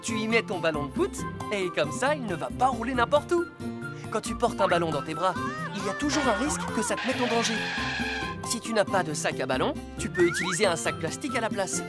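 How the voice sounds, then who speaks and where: Woman as a caring, animated cartoon rescue car. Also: medium pitch, fairly quick, over loud music, natural voice. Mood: kindly. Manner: clear, instructive, reassuring. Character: caring, level-headed rescue car teaching safety. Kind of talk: French-dubbed children's cartoon acting.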